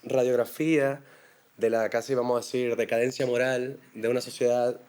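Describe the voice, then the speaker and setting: A man's voice: mid-range voice, level tone, moderate pace, a little mellow, smooth. A man, a screenwriter explaining his project in a thoughtful way. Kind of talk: interview and pitch.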